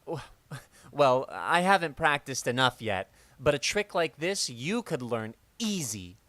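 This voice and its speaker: An eager, hapless teenage boy played by a man in an old-time radio comedy. His pitch leaps around as he gabs and shows off with earnest excitement, recorded close and dry. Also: measured pace, dry recording. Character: eager, hapless teenage boy schoolmate, showing off party tricks. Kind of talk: 1940s radio comedy acting.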